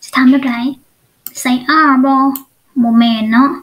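High-pitched Lao woman teaching, very unhurried. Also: steady tone. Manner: explains step by step with frequent check questions. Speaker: English teacher. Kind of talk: online language lesson.

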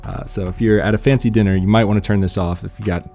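A man's voice: on the low side, steady tone, quick pace, gravelly, a little mellow, soft background music. A man giving casual, laid-back tech news commentary in an informal, slangy way.

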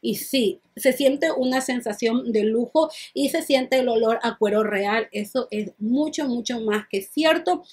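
Chatty woman vlogger with a high voice. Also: a little slow, hardly any pauses, darkish tone, slightly rough. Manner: chatty, repeats words while handling the bag. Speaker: beauty and fashion vlogger. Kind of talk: casual product unboxing and review. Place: small indoor room, plain backdrop.

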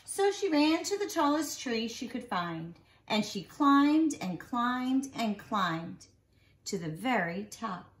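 A woman in her forties reads a children's picture book aloud in an expressive way, drawing out key words for young listeners. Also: a little high. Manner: animated storytelling for young children, drawn-out emphasized words. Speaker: nursery school teacher reading bedtime stories. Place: small living room at home, indoors. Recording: roomy home recording.

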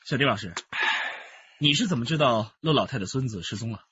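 An actor playing a frank, sardonic senior police detective in a radio drama. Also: male voice, mid-range voice, lively intonation, brisk, sharp tone, dull audio, slight background noise. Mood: businesslike.

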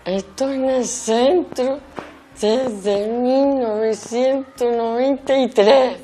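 A woman's voice: a little high, extremely slow, warm tone, slight background noise. A woman giving a simple self-introduction to camera. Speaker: occupational centre member.

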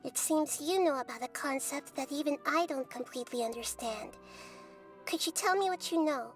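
Woman playing little wise goddess, high youthful voice, soft, gentle, earnest, measured, formal, anime video game, music behind. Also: natural voice. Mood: thoughtful.

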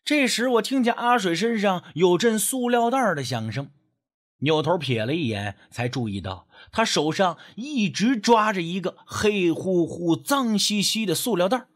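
A man's voice: high voice, animated, moderate pace. A man narrating a first-person story as an audiobook narrator, in a dramatic storytelling style.

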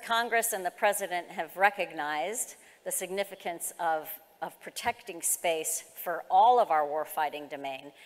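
A middle-aged American woman giving an explanatory, semi-formal keynote presentation at a conference. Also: lowish voice. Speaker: keynote speaker, government executive. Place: large conference hall, on stage. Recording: reverberant hall sound.

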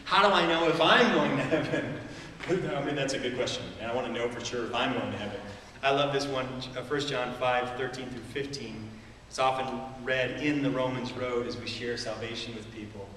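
A man's voice: medium pitch, brisk, pushed and bright, a little hiss or hum. A middle-aged man preaching a sermon from a church stage, talking conversationally with rhetorical questions and small chuckles. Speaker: pastor. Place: church stage with a large screen. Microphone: far camera in the hall. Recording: echoey hall from far off.

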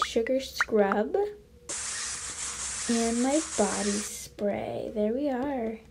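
A woman improvising a role-play story as a mother character, chatty and play-acting, sometimes hushed. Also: a little high, noisy background. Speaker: role-play video narrator. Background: background music.

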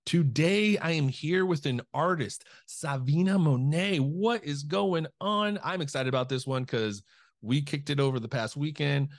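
A man's voice: fairly high pitch, a little slow. A middle-aged man hosting a podcast interview over a video call, upbeat and friendly, asking loose, rambling questions. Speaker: podcast host. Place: home room. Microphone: studio mic with headset on video call.

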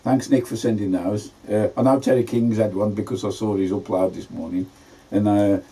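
A man's voice: lowish voice, steady tone, normal speed, warm tone, husky. A man, chatting casually as he shows his allotment work in a greenhouse. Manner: chatty, homely, plain-spoken. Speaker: allotment vlogger. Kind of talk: casual gardening vlog narration.

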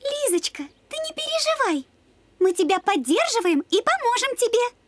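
Vain teen cartoon dog girl, super high voice, breezy and coaxing. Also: sing-song, normal speed, a bit bright, dry recording, heavily acted. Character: vain, girlish teenage cartoon dog sister.

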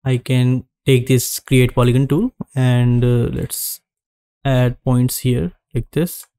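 A man narrating a screen-recorded 3D modeling tutorial, giving calm step-by-step instructions with frequent pauses. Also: medium pitch, smooth, no echo, clean studio sound.

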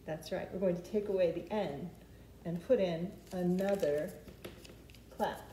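A woman in her forties leads a storytime for small children. Her tone is mellow and her pace is slow, with simple explanations and questions. It sounds like a home recording at a short distance, with room echo and some clear background noise. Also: lowish voice. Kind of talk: speaking to preschoolers, instructional. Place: living room with open kitchen at home.

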